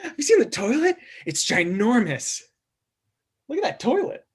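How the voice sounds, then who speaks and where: Man playing naive Christmas elf man, childlike, earnest, swooping animated delivery, comedy script read. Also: extremely high pitch, normal speed, slightly rough, exaggerated voice. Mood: excited. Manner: wide-eyed, earnest, guileless.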